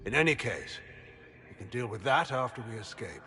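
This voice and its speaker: A man's voice: medium pitch, sing-song, moderate pace, pushed and bright. A man acting a stern, practical warrior companion in a fantasy video game, speaking firmly and matter-of-factly. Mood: firm, impatient.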